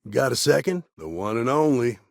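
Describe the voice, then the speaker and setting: A man playing a level-headed, world-weary lawman ranger in a video game, with a gravelly, husky voice and a firm, plain-spoken way of talking. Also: medium pitch, big pitch swings, moderate pace, dry recording, studio quality. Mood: matter-of-fact. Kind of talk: game character dialogue lines.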